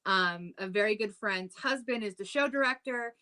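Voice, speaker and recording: A woman in her thirties chatting loosely on a podcast, her voice bright and forward. She rambles through her stories, filling gaps with likes and ums. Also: medium pitch, normal speed. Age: 30s. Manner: chatty, storytelling with lots of "like" and "um". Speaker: podcast co-host. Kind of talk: casual chat.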